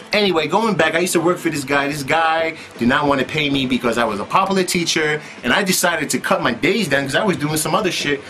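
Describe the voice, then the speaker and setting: A man's voice: on the high side, fairly bright tone, a little hiss or hum. A man telling his own story in casual, profane street slang, with "man" tossed in constantly. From United States.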